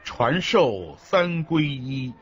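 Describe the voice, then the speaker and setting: Slow, very melodic man reciting doctrine. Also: mid-range voice, very animated, slightly rough. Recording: dull lo-fi audio with background music.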